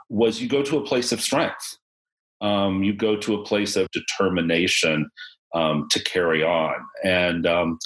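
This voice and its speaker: A man in his fifties hosting a video-call chat, speaking reflectively with frequent pauses and questions to the group. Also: fairly low pitch, a bit bright, low-quality recording. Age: 50s. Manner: reflective, asks the group questions, many ums. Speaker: podcast host.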